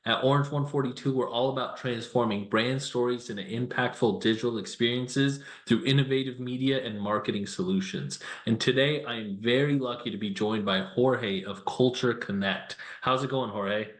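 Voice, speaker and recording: A man's voice: medium pitch, moderate pace, hardly any pauses. Upbeat male podcast host, echoey room. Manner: upbeat, friendly, enthusiastic. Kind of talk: scripted intro, then casual interviewing.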